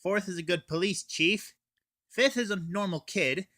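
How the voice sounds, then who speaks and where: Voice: mid-range voice, measured pace, pushed and bright. A teenage reviewer recapping a story in a casual, scripted style. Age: teen. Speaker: anime reviewer.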